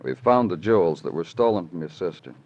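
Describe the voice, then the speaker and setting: An actor playing a calm, probing, hard-boiled police detective in clipped, deadpan 1950s radio drama style. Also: male voice, low-pitched, a little fast, gravelly, exaggerated voice. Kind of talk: 1950s radio crime drama acting and narration. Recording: dull, noisy old radio recording.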